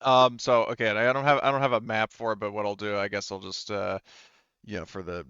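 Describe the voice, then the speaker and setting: A man's voice: lowish voice, level tone, a little fast, bright, forceful tone, clean tone, no echo, dull audio. A man running a tabletop game as game master, talking casually and informally.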